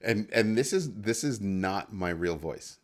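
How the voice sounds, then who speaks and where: A man's voice: lively intonation, measured pace. A man hosting a casual livestream, playfully reacting to comments from his viewers. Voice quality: deep voice. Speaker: livestream host.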